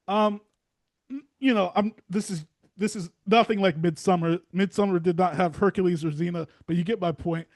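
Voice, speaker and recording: Male podcast host with a high-pitched voice. Loose, enthusiastic, halting phrasing, like an informal chat with guests. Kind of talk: casual, rambling interview chat.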